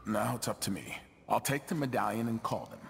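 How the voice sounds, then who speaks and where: Wry, gravelly schemer in a reverberant hall. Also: male voice, on the low side, sing-song, a little hiss or hum. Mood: confident. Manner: theatrical, wry, measured. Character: shrewd male body double of a duke. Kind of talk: video game cutscene acting.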